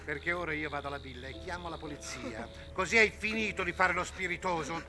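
A man's voice: a little high, fairly quick, close to an everyday voice. A middle-aged man playing a stern, suspicious villa guard who barks orders in a vintage television comedy-drama. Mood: stern, threatening. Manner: commanding, barking orders. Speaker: actor in a TV serial. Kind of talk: 1960s Italian television comedy-drama acting. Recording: vintage 1960s television soundtrack.

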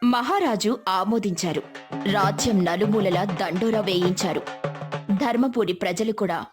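A woman giving scripted storybook narration in a smooth, dramatic storytelling manner. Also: mid-range voice, measured pace. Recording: faint music behind.

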